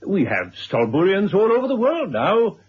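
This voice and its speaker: An actor playing a genial, garrulous retired headmaster, formal and wordy, in a 1970s radio drama. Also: male voice, high voice, wildly swooping pitch, normal speed, slightly rough, heavily acted. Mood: genial, persistent. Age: older. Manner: formal, wordy, chuckling asides. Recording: dull old radio drama recording.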